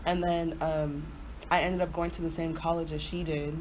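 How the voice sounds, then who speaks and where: A woman's voice: low voice, little pitch movement, moderate pace, a little hiss or hum, low-quality recording. A woman in her early twenties from Houston co-hosting a podcast, telling stories casually with slang and lots of "like". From Houston, Texas, not raised there from birth. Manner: informal, slangy, peppered with "like". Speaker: podcast co-host. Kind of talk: casual chatty storytelling.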